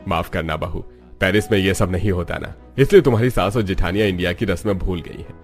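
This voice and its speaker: A man's voice: deep voice, fast, faint music behind. A man voicing a kindly, traditional older father-in-law welcoming his family in a cartoon.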